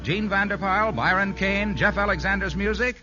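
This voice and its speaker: A man's voice: sits high, brisk. A man announcing and narrating a 1950s radio comedy show in a scripted style. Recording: muffled old radio recording with music. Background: music.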